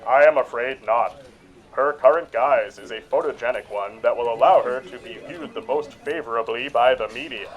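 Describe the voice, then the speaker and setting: A man's voice: medium pitch, dull audio, natural voice. A man playing a polite alien starfish who speaks formally and carefully in a radio drama. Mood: courteous, grave. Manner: courteous, formal, measured explaining. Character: polite alien starfish visitor warning of invaders.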